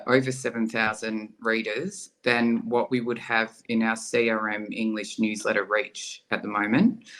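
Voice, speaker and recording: A man speaking as a guest on a video call, working through his ideas out loud with plenty of ums. His tone is bright and forceful, and his pitch sits in a middle range. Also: low-quality recording. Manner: thinks aloud, frequent ums. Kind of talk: casual conversation.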